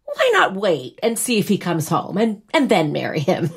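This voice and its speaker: An actress playing a wistful, teasing young bride in a naturalistic audio drama. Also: female voice, medium pitch, wildly swooping pitch, moderate pace, creaky, slightly rough, full character voice. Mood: wistful frustration. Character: wistful, teasing young bride whose wedding was cancelled.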